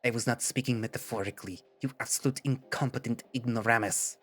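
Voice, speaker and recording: A man's voice: medium pitch, steady tone, fast talker, a bit bright, lightly acted. Man doing a pompous chameleon villain, mock French accent. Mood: indignant. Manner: pompous, melodramatic. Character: bitter, theatrical chameleon villain, wounded and vain.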